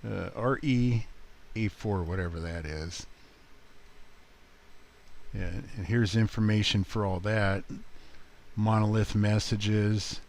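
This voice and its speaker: A man giving a casual, rambling walkthrough as a hobby video host, halting often as he thinks aloud. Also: fairly low pitch, steady tone, vocal fry, a little mellow. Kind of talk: casual screen walkthrough commentary.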